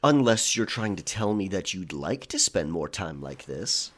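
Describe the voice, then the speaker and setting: Man acting a low, husky, teasing pirate. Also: very animated, measured pace, exaggerated voice. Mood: dry teasing. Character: gruff, teasing male pirate, coolly in command. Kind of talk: audio drama character acting.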